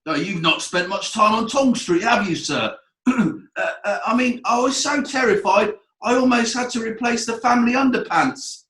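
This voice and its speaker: A man's voice: extremely high pitch, moderate pace, fairly bright tone, echoey room. A man playing a cheeky eight-year-old errand boy in a comic courtroom play, with a Yorkshire accent and a hesitant, deferential manner. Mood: cheeky, exaggerating. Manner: hesitant, deferential, self-correcting.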